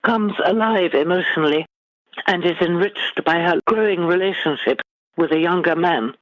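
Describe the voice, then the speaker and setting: A middle-aged British woman, an author giving measured, formally phrased answers in a literary interview. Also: fairly low pitch, no echo, phone-quality sound. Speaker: guest author.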